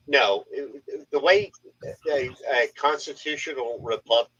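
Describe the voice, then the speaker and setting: An American man on a casual panel, explaining things in a measured, stumbling way. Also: mid-range voice, a bit bright, husky, dry recording. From United States. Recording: dull lo-fi audio.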